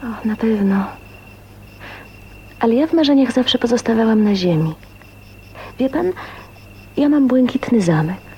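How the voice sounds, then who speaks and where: Dreamy, shy young spinster. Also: female voice, a little high, expressive, measured pace, long pauses, mellow, muffled recording. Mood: dreamy, wistful. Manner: dreamy, emotional, hesitant phrasing with breathy whispers. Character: shy, dreamy 29-year-old spinster, wistful and newly in love. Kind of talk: radio drama acting, intimate monologue and dialogue. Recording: faint noise, 1980s radio drama.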